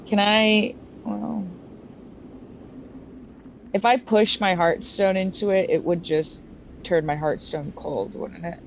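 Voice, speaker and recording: A woman's voice: medium pitch, steady tone, takes it slowly, creaky, pauses often. A woman chatting casually as a player in a tabletop game. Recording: dull muffled mic, faint noise.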